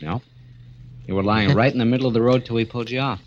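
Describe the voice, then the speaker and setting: A man's voice: mid-range voice, steady tone, fast talker, lightly acted. An actor playing a friendly, curious young man in a 1940s radio drama. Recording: dull vintage radio recording.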